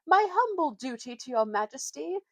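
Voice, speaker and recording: Woman putting on a shrill, gallant little mouse knight. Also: sing-song, moderate pace, a bit bright, no room sound, full character voice. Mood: courtly. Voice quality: shrill, piping. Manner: formal, ceremonious, clipped indignation. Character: small gallant male talking mouse, proud and courtly.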